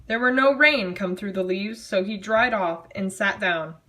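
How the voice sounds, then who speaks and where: A woman reads a story aloud at a middling pitch, hamming it up in a mock-dramatic way. Her delivery is theatrical yet casual, with a sardonic, self-mocking edge of commentary on the material. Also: unhurried, bright, forceful tone. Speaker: amateur storyteller reading own childhood writing.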